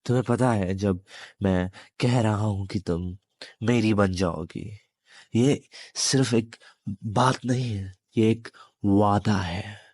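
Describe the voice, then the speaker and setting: A man playing a menacing, possessive demon in an intimate audio roleplay, taunting the listener in a halting delivery with long pauses. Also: lowish voice, a little mellow, dry recording. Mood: possessive, commanding. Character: menacing, possessive male demon, captive turning predator.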